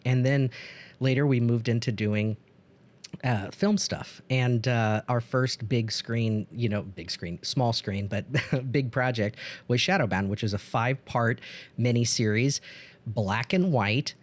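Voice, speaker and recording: A man's voice: medium pitch, unhurried. Guy hosting a podcast, regular midrange voice, super into it, just chatting casually. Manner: enthusiastic, gushing about past projects. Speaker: podcast host and producer.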